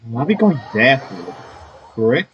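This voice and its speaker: A man's voice: mid-range voice, big pitch swings, a little slow, highs cut off, slight background noise. A man giving casual let's-play commentary as a gaming streamer, reacting in an informal, slangy, muttering way.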